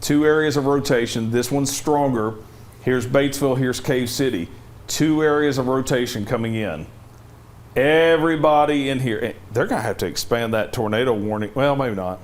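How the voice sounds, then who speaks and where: A man, a TV meteorologist, giving live severe weather coverage with urgent, direct safety instructions, slightly hoarse from losing his voice. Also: medium pitch, normal speed. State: losing his voice, cough drop in mouth.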